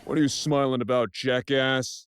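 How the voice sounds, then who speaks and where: A man's voice: medium pitch, flat delivery, moderate pace, clear, resonant tone, lightly acted. A man playing a crude, mocking prisoner in an audio play, confrontational and swaggering. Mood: mocking, hostile. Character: crude, bullying male prisoner, loud joke-teller. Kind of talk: audio play character acting.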